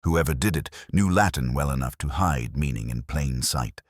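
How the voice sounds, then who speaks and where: A man playing a melancholy police detective, narrating a mystery in a measured, literary, reflective way. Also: very deep voice, lively intonation, no room sound, clean studio sound. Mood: quietly uneasy. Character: brooding, melancholy male police detective narrating his case. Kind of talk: scripted first-person mystery narration.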